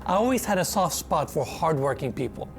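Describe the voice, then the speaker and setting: A young man whose first language is Turkish, hosting a travel vlog with excited on-location chat and reflective voice-over. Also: a little high, fairly quick. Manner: excitable, exclaims and laughs while crawling through tight spaces. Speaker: host. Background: background music.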